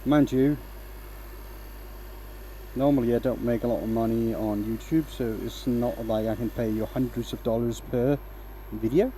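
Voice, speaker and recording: A man from Denmark with a light Danish accent in a workshop, casually talking viewers through his woodturning. His voice is mellow and mid-pitched, and his manner is relaxed as he keeps checking in with "right?". Faint workshop noise sits under the voice. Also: normal speed. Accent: light Danish, mostly a mix of English accents picked up online. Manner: relaxed, explains as he works, asks "right?" often. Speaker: woodturning livestream host. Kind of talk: casual live-stream talk while working.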